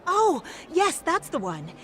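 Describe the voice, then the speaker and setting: An actress playing a hesitant, apologetic receptionist at an event in a game dub. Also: female voice, extremely high pitch, huge pitch swings, slow pace, a bit bright, slight background noise, heavily acted. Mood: flustered. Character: flustered female event receptionist.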